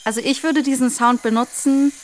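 A woman's voice: high-pitched, steady tone, fairly quick, a little hiss or hum. A woman interviewing in a casual, curious, informal way in a studio. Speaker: interviewer, co-host. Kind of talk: casual chat. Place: radio drama studio.

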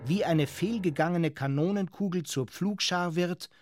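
Higher-voiced man narrating an adventure drama. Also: moderate pace, dry recording, studio quality, soft background music. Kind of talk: scripted dramatic present-tense narration.

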